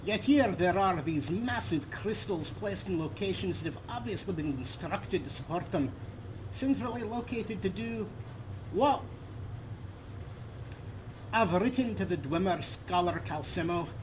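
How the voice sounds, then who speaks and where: A man's voice: high-pitched, animated, unhurried. A man reading a game journal aloud as an earnest, fussy fantasy scholar, formal and emphatic. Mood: intrigued, pondering. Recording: clear background noise under voice.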